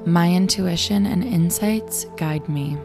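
A young woman with a raspy voice, hosting a show and reading affirmations slowly and soothingly. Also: on the low side. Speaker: affirmation and meditation host. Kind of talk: guided affirmations. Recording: clear music bed behind voice.

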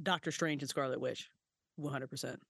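Woman guest, chatty and excitable, free with profanity, voice jumping high when thrilled; dry, close recording. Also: a little fast, gravelly, no echo. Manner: animated, swears freely, big pitch jumps when excited. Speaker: podcast guest, writer and voice actress. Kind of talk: casual podcast interview.

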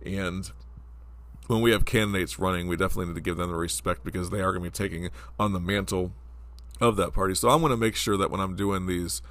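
A man's voice: deep voice, steady tone, quick pace, a bit bright. A man in his forties hosting a casual solo podcast, talking in a rambling, conversational way with lots of fillers, his voice stuffed up from a cold. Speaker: podcast host.

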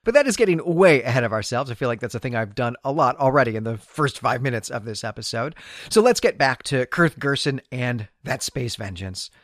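A man's voice: medium pitch, lively intonation, brisk, a bit bright, dry recording, clean studio sound. A man hosting a solo book podcast, talking in a conversational, bookish way with wry asides. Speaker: podcast host. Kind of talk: solo book discussion podcast, semi-scripted.

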